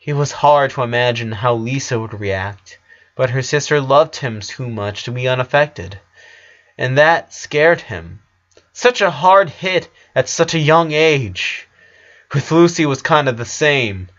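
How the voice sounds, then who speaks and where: A man's voice: medium pitch, expressive, measured pace, fairly bright tone. A man narrating a sad story as a dramatic reading, on a dull, lo-fi recording. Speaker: fan fiction narrator.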